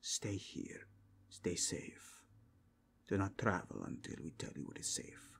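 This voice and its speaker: A man's voice: deep voice, sing-song, takes it slowly, gravelly, fairly bright tone, slight background noise, exaggerated voice. A man voicing a zealous, courteous inquisitor with formal, grave, archaic phrasing in a fantasy ASMR roleplay. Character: zealous male inquisitor, solemn, courteous, devoutly faithful.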